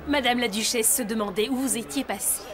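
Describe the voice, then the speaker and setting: A woman's voice: fairly high pitch, a little fast, natural voice. A woman playing a deferential lady's companion in a cartoon, speaking formally and courteously. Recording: music behind dialogue.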